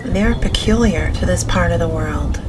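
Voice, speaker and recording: A woman reading a gothic novel as an audiobook in a gentle, measured manner. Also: on the low side. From Canada. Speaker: audiobook narrator. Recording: music behind the voice. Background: music.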